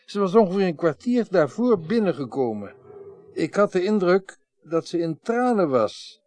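Radio play role: parliamentary reporter, high-pitched, observant, confiding delivery. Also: male voice, sing-song, normal speed, a little mellow, no echo. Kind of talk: Dutch radio drama acting.

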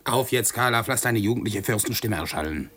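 An actor playing a mock-deferential, bantering clown in a theatrical radio play, declaiming in a husky voice. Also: male voice, lowish voice, animated, fairly quick, heavy background noise, lightly acted. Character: Brighella, courtly, bantering commedia dell'arte clown.

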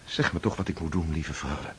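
An actor playing an anxious, lovesick young nobleman in a radio play, speaking in halting, stammering bursts. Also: extremely low pitch, a bit bright, a little hiss or hum. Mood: pleading.

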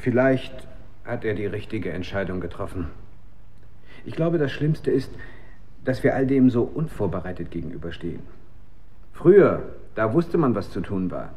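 Brooding disillusioned scientist in a radio play, lowish voice, thoughtful and hesitant. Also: male voice, very animated, normal speed, dark tone. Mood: troubled, reflective. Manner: reflective, hesitant, articulate. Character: brooding, disillusioned male scientist at a weapons works. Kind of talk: German radio drama acting.

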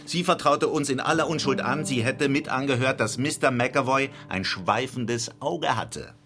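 Actor as a measured, self-assured male detective. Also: medium pitch, fairly bright tone, soft background music, lightly acted. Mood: explanatory, assured. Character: self-assured male detective, coolly logical, explaining his case. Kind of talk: radio drama acting, explanatory monologue.

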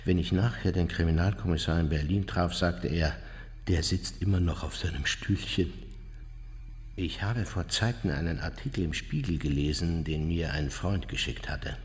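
A man's voice: sits low, normal speed, slightly rough. An actor playing an older, unrepentant Nazi war criminal, smug and matter-of-fact as he recounts his memoirs in a radio drama. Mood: smug reminiscing. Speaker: narrator of his own memoirs. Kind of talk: radio drama monologue, reminiscing.

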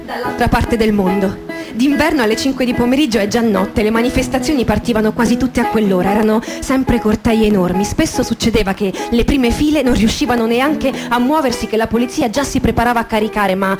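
A woman narrating a first-person literary monologue for radio theatre in measured, deliberate phrases. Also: a little high. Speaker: actress narrator. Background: guitar music.

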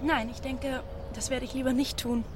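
A reserved woman scientist, polite but firm, played by an actress in a radio drama. Her voice is fairly high, with faint noise around it. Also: level tone, normal speed, natural voice. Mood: reluctant, firm.

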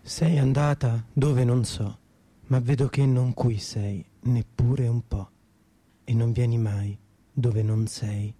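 Man reciting dramatic poetry, super mellow and breathy, takes it really slow. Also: medium pitch, steady tone. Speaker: performer of poetic monologue.

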